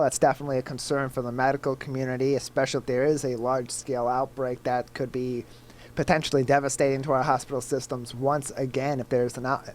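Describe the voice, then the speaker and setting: A man co-hosting a talk show, slightly stuffed up, explaining the news in a measured, casual way with some fillers. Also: mid-range voice, slight background noise. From Michigan. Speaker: co-host.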